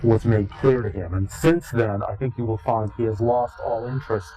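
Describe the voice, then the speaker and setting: A man giving interview testimony in a grave, deliberate, halting and portentous way. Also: medium pitch, mellow, low-quality recording. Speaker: interviewee, claimed insider. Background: music.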